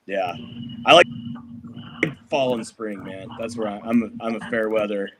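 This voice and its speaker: A middle-aged musician from Colorado talks in a loose, rambling way, full of fillers. His voice sits a bit low. A clear hum of background noise runs under him. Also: fairly even intonation, normal speed. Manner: rambling, filler-heavy, laid-back. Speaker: musician guest. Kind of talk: casual podcast interview. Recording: noisy background on a remote line.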